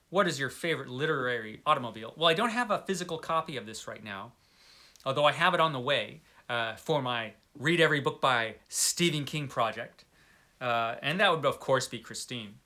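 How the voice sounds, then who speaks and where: A man's voice: medium pitch, expressive, brisk, sharp tone. A man hosting a casual book vlog, chatting to the viewer in a playful, tongue-in-cheek way with emphatic declarations. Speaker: book vlogger, host of the channel. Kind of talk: casual chat to camera, answering book tag questions.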